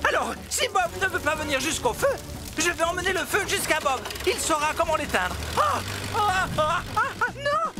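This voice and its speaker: An actor voicing an anxious, excitable talking digger in a French children's cartoon, fretful and dramatic. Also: very high-pitched, normal speed. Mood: frantic. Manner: fretful, dramatic, full of exclamations. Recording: music behind the voice.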